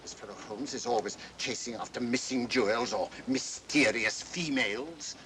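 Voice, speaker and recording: A man's voice: normal speed, pushed and bright, close to an everyday voice. An older man, acting a haughty, irascible professor who speaks with sarcastic challenge in a vintage mystery film. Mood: affronted. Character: irascible, haughty old professor, scornful train passenger. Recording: vintage film soundtrack, train rumble.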